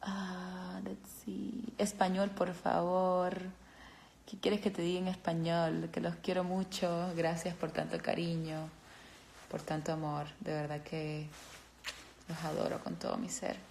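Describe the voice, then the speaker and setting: A woman from Cuba with a Cuban Spanish accent, chatting casually on a phone livestream, halting and gushing as she answers fans. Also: lowish voice, completely flat delivery, gravelly, a little mellow, slight background noise. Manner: halting, searching for words, gushing.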